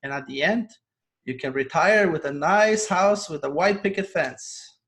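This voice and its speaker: A man's voice: a little high, unhurried, fairly bright tone. Man telling his story. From Poland. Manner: earnest storytelling, laughs often. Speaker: guest, online business coach. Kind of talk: casual interview over video call. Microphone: video call.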